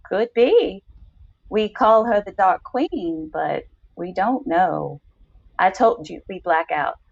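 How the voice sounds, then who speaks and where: A woman plays a mysterious, worldly older lover who teases her young man without a care. Her pitch is animated and swings freely, in a story reading with slightly dull, lo-fi sound. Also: takes it slowly, creaky, breathy edge, heavily acted. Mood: teasing, knowing. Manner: teasing, unbothered. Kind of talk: dramatic short story reading.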